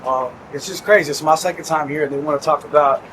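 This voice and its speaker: A man from Fort Worth, a singer talking excitedly to camera, vlog style. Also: fairly high pitch, fast talker, background noise. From Fort Worth, Texas. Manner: excited, upbeat. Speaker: country singer.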